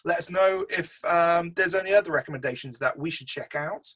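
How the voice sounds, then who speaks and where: A man's voice: fairly high pitch, a little fast, a bit bright, husky. A man hosting a casual film review chat, speaking in a chummy, slangy and enthusiastic way. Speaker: film review show host.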